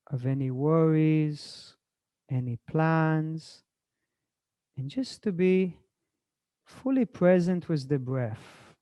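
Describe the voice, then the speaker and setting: A man from Israel speaking as a swami, courteous and unhurried, in a gentle spiritual talk and meditation style. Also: on the high side, smooth, no room sound.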